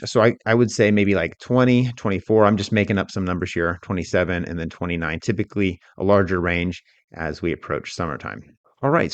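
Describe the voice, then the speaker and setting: Low-voiced man teaching a software tutorial. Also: dry recording, muffled recording. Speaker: instructor. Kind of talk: software tutorial narration, explanatory.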